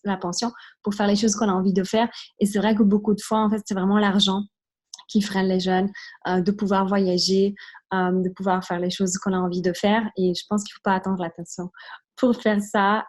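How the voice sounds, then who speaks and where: A woman's voice: medium pitch, darkish tone. A young Swiss woman in her twenties with an Italian accent, hosting a video conference and chatting through her testimonial with many fillers. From Switzerland. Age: 20s. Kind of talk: testimonial presentation. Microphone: video call.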